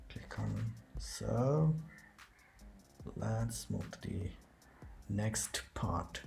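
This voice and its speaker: Guy with a lowish voice doing a step by step setup tutorial, music going in the background. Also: vocal fry, darkish tone. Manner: step-by-step, walking through clicks. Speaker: tutorial host. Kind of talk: screen-recorded software tutorial.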